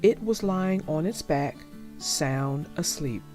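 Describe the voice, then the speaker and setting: A woman reading a children's story aloud in a deliberate, expressive storytelling manner, with music behind her. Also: deep voice. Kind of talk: read-aloud storybook narration.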